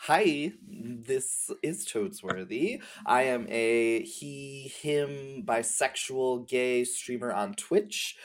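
A man's voice: mid-range voice, very slow, few pauses. Chatty man, casual podcast guest. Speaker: podcast guest, game streamer. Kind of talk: casual chat.

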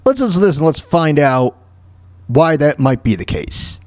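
A man hosting a podcast, ranting in a halting, repetitive, exasperated way with casual, opinionated talk. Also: on the high side, big pitch swings, darkish tone, a little hiss or hum. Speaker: podcast host.

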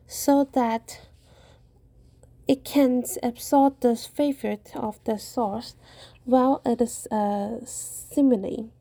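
A woman from Guangdong with a Cantonese accent hosts a podcast, reading a prepared script in a slow, measured way. Her voice is high and very dark and mellow, with a husky, breathy edge. It is recorded close and dry. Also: dry recording. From Guangdong, China. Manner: careful, unhurried reading with occasional hesitations. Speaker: podcast host.

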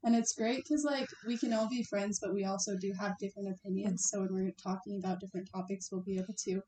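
A woman's voice: mid-range voice, fairly even intonation, fast talker, warm tone. A young woman with an American accent, chatting casually as a student podcast co-host. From Utah, United States. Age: young adult. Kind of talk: casual chat. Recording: echoey room with faint noise.

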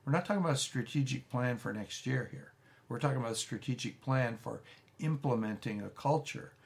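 A man, a pastor giving a relaxed, rambling teaching talk with frequent restarts and folksy asides. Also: mid-range voice, fairly quick, creaky. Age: 70s. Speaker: pastor teaching a church leadership course.

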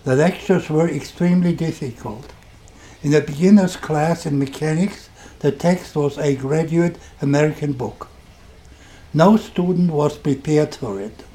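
An older man with a German accent, telling his own story on a podcast in a deliberate, wry and dryly sarcastic way. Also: fairly high pitch, mellow. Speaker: podcast host. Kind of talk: first-person storytelling podcast.

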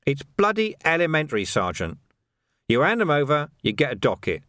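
A man's voice: a little high, very animated, bright, forceful tone, dry recording, studio quality, pitched above the performer's own voice. A man voicing a stern, commanding watch commander. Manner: brisk, commanding, lecturing. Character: stern middle-aged male watch commander. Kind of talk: audiobook character voice.

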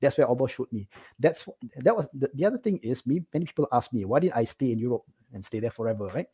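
A middle-aged Singaporean man speaks very quickly in a soft-edged, mellow voice with some huskiness. He tells his own stories in a casual, animated way, like a guest in conversation. He keeps checking in with the listener as he goes. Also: mid-range voice, breathy edge. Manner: animated, checks in with "right?" often. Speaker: interview guest. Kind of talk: casual personal storytelling.

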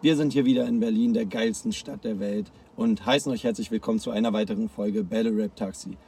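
Hoarse low dude hosting a podcast, mellow, bit of hiss. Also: male voice, steady tone, brisk, distant mic. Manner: upbeat, slangy, eager interviewer. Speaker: podcast host. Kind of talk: casual interview chat.

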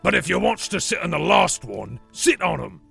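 A man voicing a quarrelsome, rough troll with a harsh, gravelly rustic voice for an audiobook. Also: sits high, big pitch swings, moderate pace, pushed and bright, soft background music, pitched above the performer's own voice, full character voice. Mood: argumentative. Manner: bickering, ungrammatical rustic speech. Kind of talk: audiobook character voice.

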